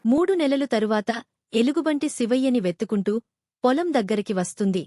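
A woman's voice: a little high, normal speed, noisy background. A woman narrating a scripted moral story for children.

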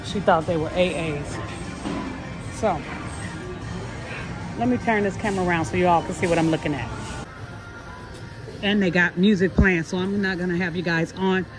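A middle-aged woman, chatting casually and haltingly to her vlog viewers on a phone at arm's length. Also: fairly low pitch, music in the background. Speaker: vlogger. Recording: noisy handheld phone recording.

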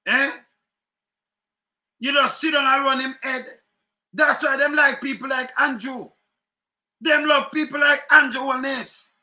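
Lively high-voiced Jamaican man. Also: unhurried, long pauses. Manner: animated, chuckling, repeats phrases, gestures a lot. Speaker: online commentary host. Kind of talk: casual political commentary in patois. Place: home room, indoors. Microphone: webcam at desk.

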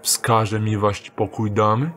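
An actor playing a sly thief who poses as a courteous messenger in an audio drama, speaking in formal, archaic, polite phrases. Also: male voice, fairly low pitch, little pitch movement, takes it slowly, a bit bright, soft background music. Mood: smoothly deferential.

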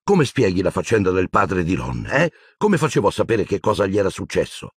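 A man's voice: sits low, very animated, a little fast, husky, no echo, studio quality, voice pushed up, heavily acted. A man voicing an intense, hot-headed teenage boy in an audiobook, urgent, defiant and argumentative. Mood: angry.

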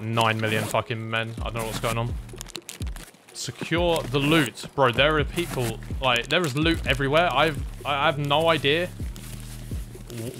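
A man streaming a game, chatting casually with a teammate in an excitable, sweary, exclaiming way. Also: medium pitch. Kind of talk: casual gaming commentary with a teammate.

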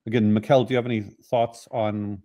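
An older man speaking on a video call, giving measured, informative answers about his school. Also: fairly low pitch, little pitch movement, warm tone, slight background noise. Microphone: video call webcam.

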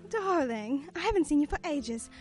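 Actress as gushing socialite, radio drama, gossipy, high-pitched. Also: female voice, big pitch swings, normal speed, a little mellow, faint music behind. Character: gushing, gossipy society woman at a party. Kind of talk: radio play acting.

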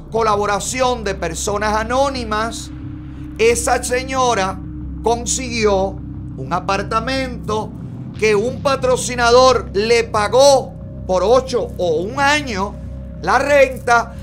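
A man's voice: very high-pitched, fairly bright tone. A middle-aged Cuban man with a Cuban Spanish accent, hosting a gossip commentary show in a studio, emphatic and sarcastic. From Cuba. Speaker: host. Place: bright studio, seated in a red chair.

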